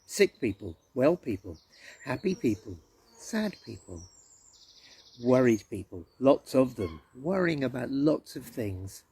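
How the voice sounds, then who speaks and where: A man reading a Bible story for children in a gentle, expressive storytelling manner. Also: medium pitch, measured pace, vocal fry, husky. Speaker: story reader at a church.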